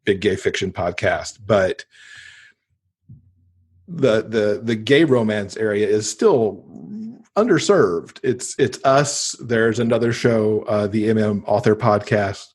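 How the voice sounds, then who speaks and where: Low-voiced man chatting, a bit husky. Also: takes it slowly, a little mellow. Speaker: podcast guest; podcast host and author. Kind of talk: casual interview chat.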